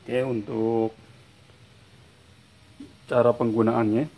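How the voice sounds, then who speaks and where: A man showing a spot welding machine in a workshop, slowly repeating each point and tagging lines with "ya". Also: mid-range voice, flat delivery, creaky, noisy background. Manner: slow, repeats points with a tag "ya". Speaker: product reviewer. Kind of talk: casual tool demonstration. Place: workshop bench, indoors.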